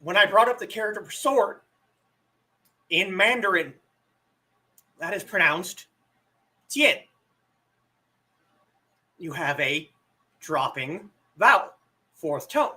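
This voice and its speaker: A man with a bright, forward tone and a fairly high voice, talking slowly and unhurriedly. He hosts a relaxed live stream, explaining things and answering viewers. He still has a lingering cough from an illness. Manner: relaxed, chatty, answers chat questions. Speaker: live stream host teaching language tones.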